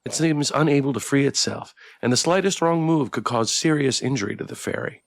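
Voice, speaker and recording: A man's voice: mid-range voice, brisk, creaky, dry recording, studio quality. A man streaming a visual novel, reading the narration aloud and adding casual commentary of his own. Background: background music.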